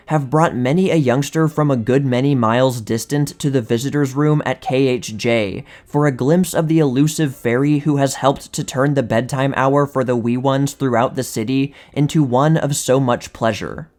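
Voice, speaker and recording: A man reading vintage magazine articles aloud as a scripted narration, in a measured, precise manner. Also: mid-range voice, a little mellow.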